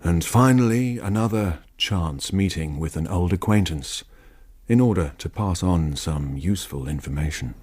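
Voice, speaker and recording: A man's voice: deep voice, animated, moderate pace, a little mellow, husky. A man performing as a wry, knowing narrator of a literary radio drama. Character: wry puppet-master narrator voicing the story's players.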